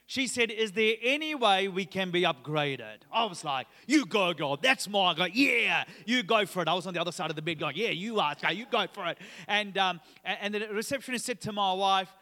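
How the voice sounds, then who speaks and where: A man in his thirties, originally from Sri Lanka, gives an upbeat, chatty sermon as a guest pastor. His voice sits high and sounds bright and forceful, and he drops in frequent "uh" fillers. The sound carries the long reverb of a church hall. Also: animated, fairly quick. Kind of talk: sermon with personal story. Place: church auditorium.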